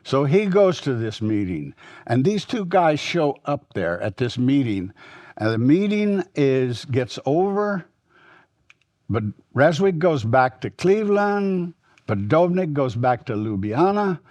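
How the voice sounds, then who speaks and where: An older man with a Texas accent giving a rambling, reminiscing historical lecture at a symposium, often pausing and restarting. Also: fairly high pitch, sing-song, a little mellow, dry recording. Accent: Texan. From Texas, United States. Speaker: symposium speaker.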